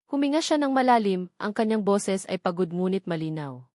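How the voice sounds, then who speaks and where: A woman with a Filipino accent narrates a scripted dramatic story in a measured, solemn way with deliberate pauses. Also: medium pitch, animated, mellow, no room sound, studio quality. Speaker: audiobook narrator.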